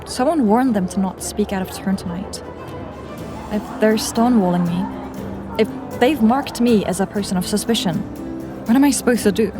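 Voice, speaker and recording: An actress playing an anxious young woman infiltrator who mutters to herself in a hushed, self-questioning way in an audio drama. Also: mid-range voice, moderate pace, music in the background, lightly acted. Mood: tense, worried.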